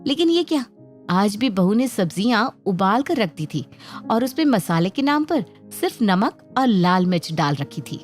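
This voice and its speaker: A woman's voice: medium pitch, very animated, normal speed. A woman narrating a scripted children's family story as a storyteller. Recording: background music under the voice.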